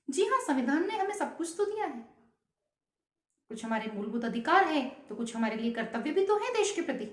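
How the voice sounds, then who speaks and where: A woman's voice: high voice, echoey room. A woman vlogger giving an earnest patriotic speech to camera, asking many rhetorical questions.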